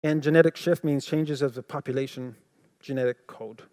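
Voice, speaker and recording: A man's voice: mid-range voice, fairly even intonation, normal speed, warm tone. A man whose first language is French, lecturing and explaining with rhetorical questions, in a played clip. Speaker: creationist speaker in a played clip. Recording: hall reverb.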